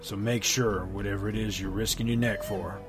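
A man voicing a gruff, laconic bounty hunter in a comic story, speaking low and slow. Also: steady tone, over music, natural voice.

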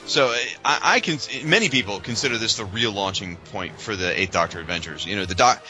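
Low voiced guy podcast host, really bright punchy sound, informal fan chat, music behind. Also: lively intonation, brisk, poor audio. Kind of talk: casual fan discussion.

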